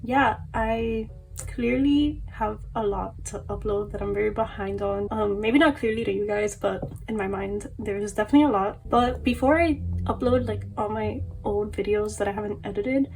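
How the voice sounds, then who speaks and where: A young woman chats casually to her camera, rambling with plenty of fillers and little laughs. Her voice carries some fry and sounds slightly off from allergies. The pitch sits in a middle range for a woman. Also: moderate pace, a little mellow, soft background music, poor audio. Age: young adult. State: voice a bit off from allergies. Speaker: collector vlogger.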